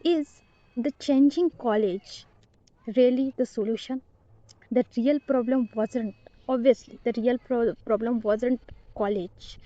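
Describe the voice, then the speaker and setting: Earnest young woman, soft-toned. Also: a little high, no echo, muffled recording. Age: young adult. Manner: hesitant, earnest, with restarts and grammar slips. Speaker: vlogger learning English. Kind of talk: personal vlog monologue.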